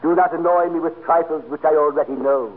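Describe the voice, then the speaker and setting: A man's voice: a little high, fairly even intonation, brisk, narrow, tinny audio, lightly acted. A man playing a pompous, haughty numerologist professor in a 1940s radio comedy. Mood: haughty, formal. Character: pompous, haughty male numerologist, self-styled professor prophet. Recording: noisy, thin 1940s radio broadcast.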